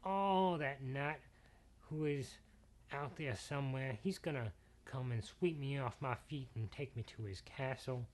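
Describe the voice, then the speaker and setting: A man voicing a dreamy young peasant girl who gushes and sighs, his voice lifting high on her exclamations. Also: a little slow, close to an everyday voice.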